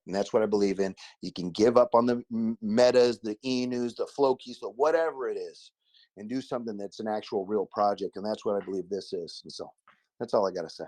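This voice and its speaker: A man's voice: on the low side, a little mellow, no room sound. A man, a guest investor giving a casual, emphatic and folksy endorsement over a video call.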